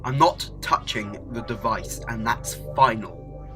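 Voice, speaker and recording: A man playing a stubborn, excitable heroic time traveller in an audio drama, urgent and exclamatory. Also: medium pitch, slow pace, music in the background, natural voice. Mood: firm, urgent.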